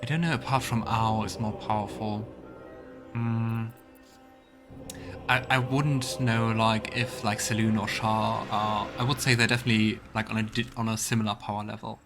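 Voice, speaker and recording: A man's voice: lowish voice, little pitch movement, normal speed. A man hosting a let's play with casual gameplay commentary, musing and thinking aloud. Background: game music.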